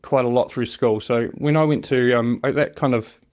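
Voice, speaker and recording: A man's voice: medium pitch, normal speed, darkish tone. A man from New Zealand with a New Zealand accent, talking casually and hesitantly as an interview guest, rambling through personal stories. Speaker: interview guest, job shop machinist.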